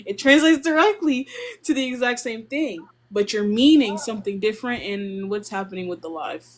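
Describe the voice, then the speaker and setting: A young woman casually explaining Korean grammar on a live stream and slowly sounding out Korean phrases. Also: a little high, a little hiss or hum.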